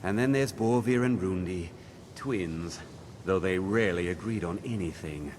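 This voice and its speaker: An actor playing a stuffy older wizard in a video game, measured, dry and mildly disdainful. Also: male voice, deep voice, darkish tone, a little hiss or hum, lightly acted. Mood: recollecting, disapproving.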